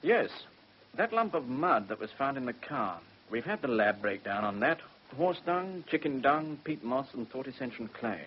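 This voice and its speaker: A man playing a businesslike, dogged police inspector with a British accent in a radio drama, brisk and matter-of-fact. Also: mid-range voice, big pitch swings, close to an everyday voice. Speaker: actor in a radio play. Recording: hissy, muffled radio drama recording.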